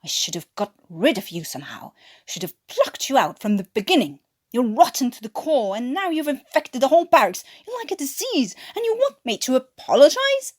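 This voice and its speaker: A woman's voice: high voice, sing-song, normal speed, pitched above the performer's own voice. A woman voicing a bitter, proud male flight sergeant with an attempted English accent, scornful and seething, in an audio story. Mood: furious.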